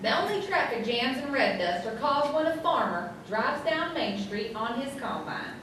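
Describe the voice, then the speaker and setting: A sassy small-town townswoman, acted by a woman with a deadpan comic delivery and a slightly high voice. She is heard on a live stage in a very echoey hall, with faint noise. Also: moderate pace, distant mic. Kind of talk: scripted live radio sketch comedy.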